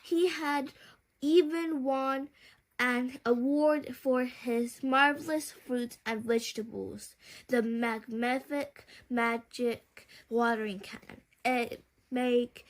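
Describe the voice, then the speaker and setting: A woman reading a children's bedtime story aloud, haltingly, with small stumbles and repeated words. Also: high voice, steady tone.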